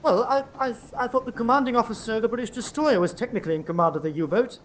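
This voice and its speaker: An anxious naval first officer in a radio drama. His voice is high for a man and he stammers defensively, hesitating as he answers. Faint noise lies behind him. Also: quick pace. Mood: nervous, cowed. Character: nervous, cowed young U-boat first officer. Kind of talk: radio drama courtroom acting.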